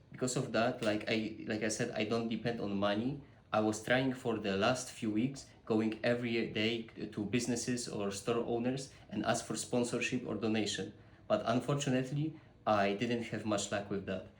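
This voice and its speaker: A young man with a Polish accent makes an earnest, halting appeal, stumbling through restarts and fillers. His voice sits fairly low. It rings in an echoey room over a faint hiss. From Poland. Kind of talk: personal appeal to camera.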